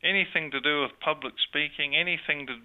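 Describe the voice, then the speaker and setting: A man's voice: a little high, steady tone. New Zealand-accented man on a tinny line. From born in Australia, grew up in New Zealand. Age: middle-aged. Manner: earnest, halting with repeated restarts. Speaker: interview guest. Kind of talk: faith testimony interview. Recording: phone-like narrow sound.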